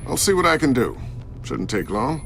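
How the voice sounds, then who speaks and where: Game role: wry, protective father and inventor, middle-aged, gravelly, mid-pitched with lively intonation. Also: normal speed, a little hiss or hum. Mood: focused, curious. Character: seasoned fatherly tech expert, wry and protective. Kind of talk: video game voice acting.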